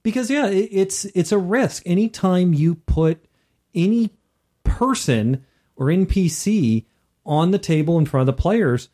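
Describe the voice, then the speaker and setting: Male gaming podcast co-host, voice a bit higher than average, conversational delivery. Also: expressive, a little slow, mellow. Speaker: podcast co-host and tabletop gamer. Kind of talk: casual discussion of tabletop gaming.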